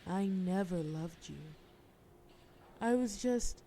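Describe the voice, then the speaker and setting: A woman's voice: mid-range voice, takes it slowly, warm tone, slight background noise. A woman playing a defiant, jaded mafia boss in an audio roleplay, speaking in a dry, wry, dismissive way. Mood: sardonic, flirtatious. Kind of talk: scripted audio roleplay acting.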